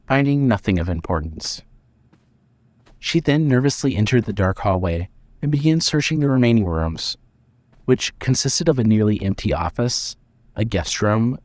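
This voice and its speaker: A man narrating a scripted dramatic story in a measured reading manner. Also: lowish voice, no room sound.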